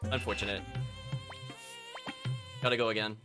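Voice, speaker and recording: A man's voice: lowish voice, level tone, moderate pace. A man giving casual live commentary as a video game streamer, thinking aloud and chatting to his viewers. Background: game music.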